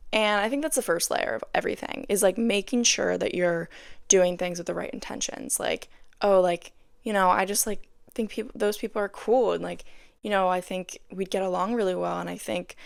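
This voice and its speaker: A young woman hosting her own podcast, talking casually and sounding tired, with a raspy voice and lots of "like" in her speech. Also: medium pitch, dry recording, clean studio sound. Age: young adult. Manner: chatty, peppered with "like". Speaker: podcast host. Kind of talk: casual solo podcast chat.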